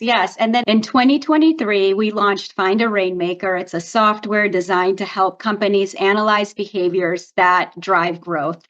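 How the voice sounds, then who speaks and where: A woman's voice: mid-range voice, a bit bright. A middle-aged woman from Romania, a native Romanian speaker, telling her story in an upbeat way as a guest on a video-call interview. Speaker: guest, PR agency founder. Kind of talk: interview answers. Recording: slightly dull lo-fi audio.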